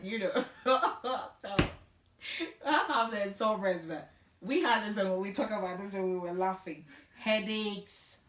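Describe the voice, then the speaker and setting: Chatty woman interviewer, distant and echoey. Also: mid-range voice. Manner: chatty, playful, laughs easily. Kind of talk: conversational interview. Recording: echoey room, distant from mic.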